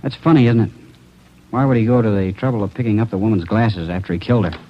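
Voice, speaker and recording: A man's voice: fairly low pitch, fast talker, natural voice. An actor playing an eager, chatty police fingerprint man, talking in short clipped phrases in a 1940s radio drama. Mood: eager, curious. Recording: muffled old radio recording, faint noise.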